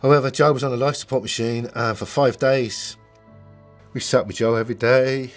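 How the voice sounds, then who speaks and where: A man's voice: medium pitch, fairly even intonation. Solemn middle-aged Irish man over music. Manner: halting, solemn. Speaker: bereaved brother giving a family statement. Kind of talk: personal testimony, partly recalled and partly read.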